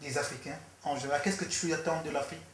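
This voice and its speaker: A man with a fairly high voice for a man asks his questions haltingly, filling the gaps with hesitations. The sound is roomy and echoey, with faint noise behind it. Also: steady tone. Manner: hesitant, with many fillers. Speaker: interviewer. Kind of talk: interview questions.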